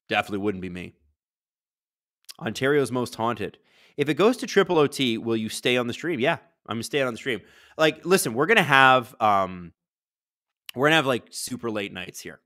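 A man in his thirties with a booming voice, hosting a casual live watch-along from a studio, chatting to viewers and breaking into excited play-by-play. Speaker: livestream host.

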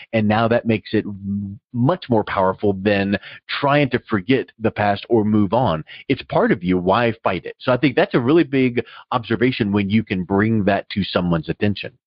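A man's voice: fairly low pitch, dry recording. A man hosting an interview in a conversational, reflective, rambling way. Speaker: interview host. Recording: dry, dull lo-fi sound.

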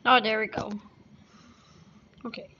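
A woman with a fairly high voice talks through a casual tutorial. She goes very slowly, in a halting, step-by-step way. The sound is somewhat dull and lo-fi. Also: level tone, sharp tone. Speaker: tutorial host. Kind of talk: casual screen-recorded tutorial. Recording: dull lo-fi sound, background noise.

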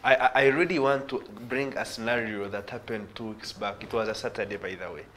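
A man's voice: lowish voice, brisk, sharp tone, slight background noise. A young man with a Ugandan accent, speaking earnestly and gesturing as a guest on a TV studio panel, with a lapel mic. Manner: earnest, illustrates points with hand gestures. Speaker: studio guest, youth organisation director.

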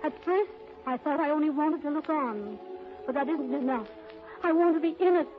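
Actress as high-voiced dreamy young ingénue. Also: female voice, moderate pace, close to an everyday voice. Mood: dreamy, wistful. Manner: wistful, theatrical. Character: young woman, dreamy, romantic, innocent secretary. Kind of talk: 1930s radio drama acting. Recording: muffled vintage radio recording, faint music.

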